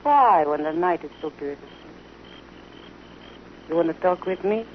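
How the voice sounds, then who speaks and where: A woman's voice: lowish voice, animated, lightly acted. Woman acting coy, teasing western temptress, muffled. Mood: flirtatious. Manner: coy, playful, deliberate. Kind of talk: old-time radio western acting. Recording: muffled old radio recording, faint noise.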